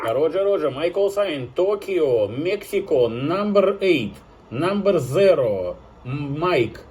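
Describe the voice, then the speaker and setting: A man's voice: a little high, very animated, slow pace, darkish tone. A man working as an amateur radio operator, making formulaic, courteous contacts with repeated numbers and phonetically spelled call signs. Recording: very noisy radio shack, static and clicks.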